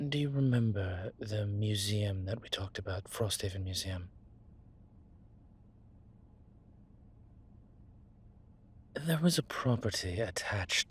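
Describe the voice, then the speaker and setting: A man as a low, husky, suave vampire. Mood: calm, confiding. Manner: measured, wry, formal wording. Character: suave, sentimental male vampire, tired and scheming. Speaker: voice actor in an audio roleplay. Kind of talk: scripted intimate audio roleplay, one side of a conversation.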